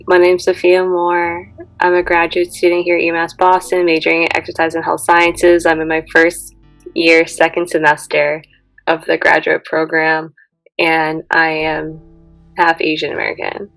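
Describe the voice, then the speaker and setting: A woman's voice: sits low, completely flat delivery, normal speed, fairly bright tone, no room sound, faint music behind, poor audio. A woman graduate student speaking steadily in a personal interview.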